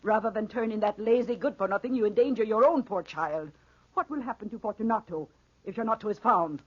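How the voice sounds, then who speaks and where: Actress as scornful peasant wife, husky nagging voice, 1940s radio drama, muffled recording. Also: female voice, mid-range voice, fast talker, natural voice. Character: scolding, bitter, fearful peasant wife and mother.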